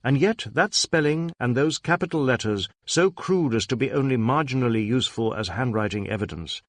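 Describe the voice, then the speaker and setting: A man's voice: medium pitch, lively intonation, brisk, no echo, studio quality. A man reading a detective novel aloud as scripted dramatic narration. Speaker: audiobook narrator.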